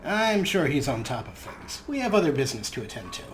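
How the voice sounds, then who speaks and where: A man's voice: mid-range voice, big pitch swings, fairly quick, a little hiss or hum. A man giving casual let's play commentary as a gaming host, sometimes reading dialogue aloud.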